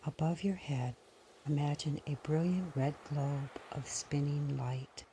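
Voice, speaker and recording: A woman leading a slow guided meditation in a breathy, husky voice that often nears a whisper, pausing between short phrases. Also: sits low, mellow, a little hiss or hum.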